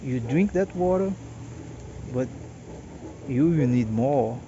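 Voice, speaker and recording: A tired Brazilian man in his late thirties with a Portuguese accent, talking slowly and haltingly to camera in a personal monologue, often restarting his words. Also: medium pitch, expressive, mellow. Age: 30s. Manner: hesitant, halting, repeats words. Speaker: vlogger. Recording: dull lo-fi audio with background noise.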